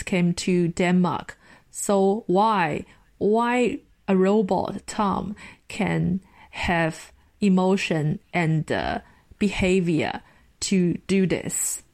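A woman's voice: fairly low pitch, darkish tone. A woman chatting casually as a panelist in a film discussion, with a playful, teasing way of talking. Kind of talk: casual chat.

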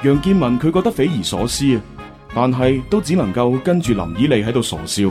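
A man with a Cantonese accent, hosting and narrating a radio drama in an expressive, conversational way. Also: mid-range voice, fairly quick. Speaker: radio host and storyteller. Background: music.

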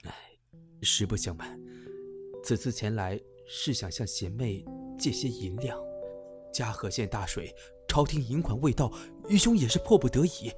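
A man's voice: mid-range voice, animated, normal speed, over music, lightly acted. An actor playing a courteous young male magistrate in a Chinese costume audio drama, formal and deferential. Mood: courteous, apologetic.

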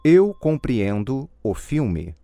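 A man giving scripted model answers in a language drill with careful, deliberate enunciation. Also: mid-range voice, big pitch swings, dark tone. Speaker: language course model speaker.

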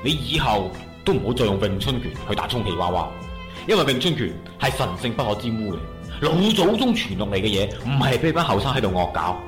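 A man's voice: fairly low pitch, sing-song, fast. An actor voices a proud gang boss in a comedy radio play, stubborn and easily wounded. His mid-range voice rises and falls sharply as he moves from hurt to stern lecture. Music plays under a roomy, echoing sound. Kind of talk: comedy radio drama acting. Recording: echoey room with music underneath.

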